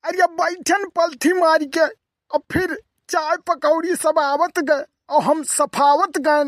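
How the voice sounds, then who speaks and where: An older cartoon village man, a teasing uncle who grumbles through his tales. The voice is oddly high and unhurried, with a rustic eastern Uttar Pradesh accent, in a dry, close recording. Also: steady tone, no echo. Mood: indignant storytelling. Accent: eastern Uttar Pradesh (Awadhi). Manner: colloquial, exclamatory storytelling. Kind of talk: rustic comedy cartoon dialogue.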